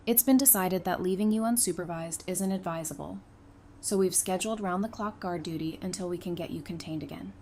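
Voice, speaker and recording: A woman acting a frank, dry-witted guard with a quietly caring side in an audio drama, speaking directly. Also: fairly low pitch, fairly quick, lightly acted. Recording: faint background noise.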